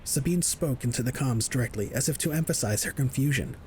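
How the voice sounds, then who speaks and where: A man's voice: medium pitch, a little fast, a little mellow, slight background noise. A man reading scripted narration for an audio drama.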